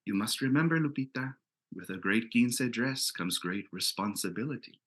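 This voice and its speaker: A man's voice: medium pitch, lively intonation, moderate pace, fairly bright tone, slightly rough. Male reader for wise, loving grandmother in a comic reading, earnest and affectionate, middle register. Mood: fond, earnest.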